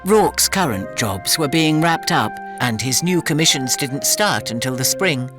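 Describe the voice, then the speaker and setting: A man's voice: medium pitch, animated, a little slow, music in the background. A man narrating a radio drama from a script.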